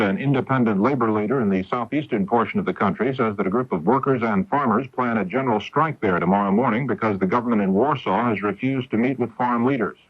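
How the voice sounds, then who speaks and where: A low-voiced American man reads the news with formal, measured delivery. His voice is husky, heard through a dull 1980s AM radio broadcast. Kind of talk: scripted newscast narration.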